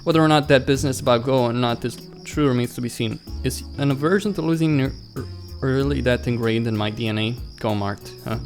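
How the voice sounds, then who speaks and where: A man reads a visual novel aloud as a let's play host. His pitch sits in the middle and he stumbles over some words as he goes. Clear background music plays behind his voice. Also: a little fast, hardly any pauses. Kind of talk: reading a visual novel aloud with side comments.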